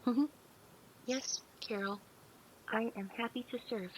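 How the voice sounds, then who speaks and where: A woman's voice: on the high side, steady tone, takes it slowly, breathy edge, rough recording. A woman playing a polite, childlike artificial intelligence in an audio drama, speaking earnestly with precise, formal, literal wording. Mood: polite, pleased.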